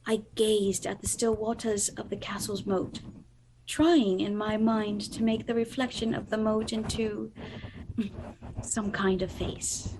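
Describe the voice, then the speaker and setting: An actress playing a sheltered, wistful young gentlewoman, telling her story formally in a radio drama. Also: mid-range voice, little pitch movement, unhurried, background noise, close to an everyday voice. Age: young adult. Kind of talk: radio drama narration and dialogue.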